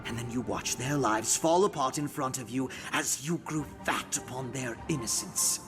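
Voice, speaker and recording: An actor playing a sardonic, smug assassin in a fantasy video game, with florid, theatrical, mocking phrasing. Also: male voice, medium pitch, moderate pace, close to an everyday voice. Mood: mocking menace. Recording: loud music behind the voice.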